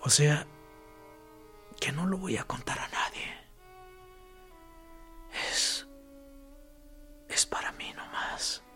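An actor playing a dead male prisoner in a radio drama, a calm, mocking voice that speaks in a gravelly half-whisper with dry sarcasm. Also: a little high, slow, pauses often, faint music behind. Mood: sardonic. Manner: dry, sardonic, probing.

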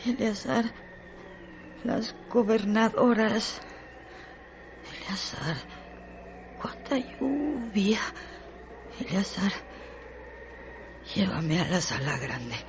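A woman's voice: mid-range voice, expressive, very slow, pauses often. An actress playing a delirious dying woman in a radio drama, murmuring in broken, feverish lines. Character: delirious dying woman calling for her lover. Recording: noisy radio play recording.

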